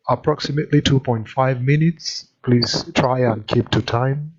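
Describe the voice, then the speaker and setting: A man moderating an online election meeting, speaking politely with halting restarts. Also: mid-range voice, darkish tone. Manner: slow, halting, polite, apologetic. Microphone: video call. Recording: muffled online call audio.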